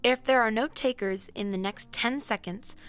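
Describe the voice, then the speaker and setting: A woman's voice: fairly high pitch, moderate pace, a bit bright, smooth, soft background music, close to an everyday voice. A woman playing a formal, matter-of-fact court bailiff in a fantasy audio drama, explaining procedure officially. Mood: businesslike.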